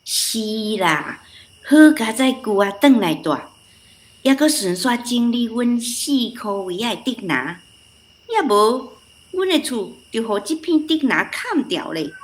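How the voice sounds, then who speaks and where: An actress with a Taiwanese accent playing a chatty, easygoing elderly village granny in a radio drama. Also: female voice, a little high, big pitch swings, unhurried. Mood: reassuring.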